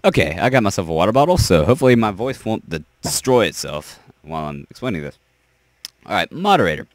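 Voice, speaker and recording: A young man losing his voice, giving a rambling, halting explanation of server ranks with lots of fillers and restarts. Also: low-pitched, very animated, no echo. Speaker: server owner.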